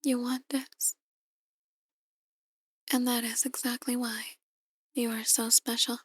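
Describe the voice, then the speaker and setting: A seductive, possessive demon woman, voiced by an actress in an ASMR roleplay. Her voice is very high yet hushed and breathy, recorded dry and close. She coaxes slowly, often slipping into a whisper. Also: flat delivery, no room sound, full character voice. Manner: hushed, breathy, slow coaxing delivery. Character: soft-spoken seductive possessive female demon, outwardly a tarot reader.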